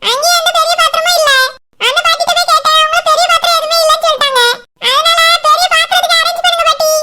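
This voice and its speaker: Dutiful cartoon village boy played by a woman, Kanyakumari Tamil accent, artificially tiny high voice, audible noise. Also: level tone, racing. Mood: earnest. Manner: explaining, dutiful. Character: earnest young village boy helper. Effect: pitched-up cartoon voice.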